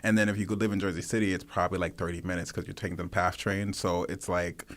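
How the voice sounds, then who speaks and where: Relaxed New man, low voice. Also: fast. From New York. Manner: relaxed, laughs easily, trails off with fillers. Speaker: interview guest, actor. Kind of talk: casual interview.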